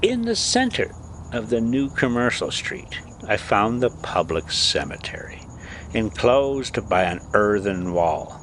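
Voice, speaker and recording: A man reading a classic story aloud as scripted narration, with deliberate phrasing and dramatic emphasis. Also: fairly low pitch, very animated, a bit bright, a little hiss or hum. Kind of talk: scripted audiobook-style narration.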